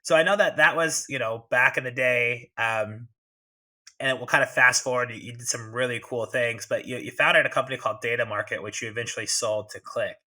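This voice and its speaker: A man's voice: medium pitch, brisk, pushed and bright. A man in his thirties hosting a podcast interview, talking loosely and casually and asking long, rambling questions. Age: 30s. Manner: chatty, loose, asks long multi-part questions. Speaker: podcast host.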